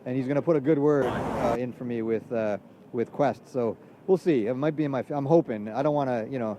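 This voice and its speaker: A man from Trinidad chatting casually as a musician being interviewed, using relaxed hip hop slang. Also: mid-range voice, a little fast, background noise. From Trinidad, from San Fernando. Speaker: jazz trumpet player, interview guest. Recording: loud music in the background.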